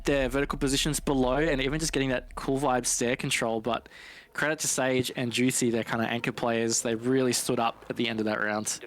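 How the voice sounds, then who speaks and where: A man's voice: medium pitch, fairly even intonation, a little fast. A man giving colloquial, conversational esports commentary and analysis of live play. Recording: faint game gunfire behind voice. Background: video game gunfire.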